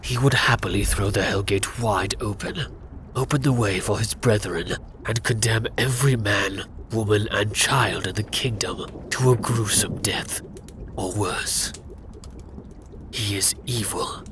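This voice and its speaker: A man narrating a scripted fantasy ASMR roleplay in a hushed, often whispered voice with dramatic pauses. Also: fairly low pitch, noisy background.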